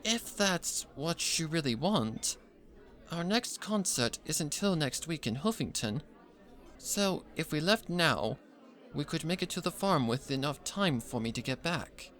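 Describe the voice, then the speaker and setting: A man voicing a refined, supportive adult pony mare, composed and warm-hearted, in a fan fiction story reading. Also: on the high side, lively intonation, a little slow, pitched above the performer's own voice. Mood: agreeable.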